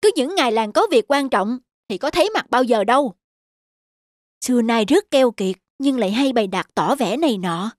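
A woman voicing a young, plain-spoken servant in an animated folktale. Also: fairly high pitch, moderate pace, dry recording, clean studio sound, lightly acted.

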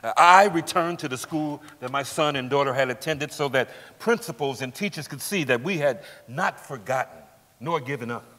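A middle-aged man on stage playing a dignified, resolute father giving measured dramatic narration. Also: medium pitch, sharp tone, close to an everyday voice. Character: dignified, resolute father recounting his community's struggle. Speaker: actor in a staged reading. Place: large theatre stage, indoors. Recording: echoey theatre hall, long reverb.

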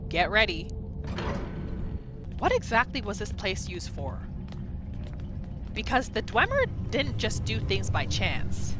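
Slow-paced, lively woman commenting on a game. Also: medium pitch, background noise. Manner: exclamatory, thinking aloud, self-questioning. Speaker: gamer narrating a roleplay playthrough. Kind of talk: reactive gameplay commentary.

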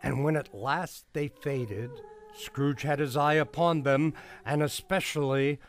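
A man playing a compassionate, expressive narrator, reading scripted narration in a radio play. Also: a little high, a little slow, slight background noise. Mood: reflective, tender.

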